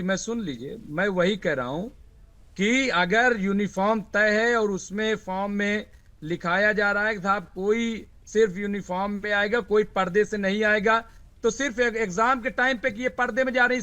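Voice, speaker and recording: A man argues as a panelist in a heated TV debate. His voice is very high and forceful, and he repeats phrases to hold the floor. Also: steady tone, moderate pace.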